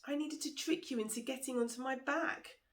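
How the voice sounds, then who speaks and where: A woman's voice: sits high, steady tone, fairly quick, pitched above the performer's own voice, natural voice. A scheming male crocodile from a folk tale, played by a woman storyteller. He speaks in a slightly raised voice with a reluctant, apologetic manner, as if weighed down by guilt. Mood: ashamed, reluctant.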